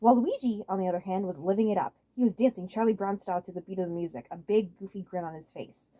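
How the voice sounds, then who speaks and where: A woman's voice: mid-range voice, fast, warm tone, dull audio. A woman reading fan fiction aloud as a scripted story narrator on a home microphone.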